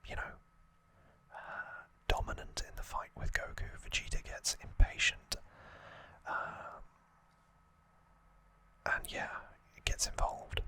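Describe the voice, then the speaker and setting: A man speaks in a soft, breath-only whisper with a bright, sharp edge. He hosts ASMR, recapping trivia informally and haltingly, often correcting himself as he explains. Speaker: ASMR host.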